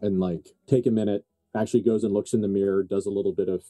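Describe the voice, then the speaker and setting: Dark-voiced man narrating a tabletop game. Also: on the low side, fairly even intonation, fast talker, no room sound, faint music behind. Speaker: game master.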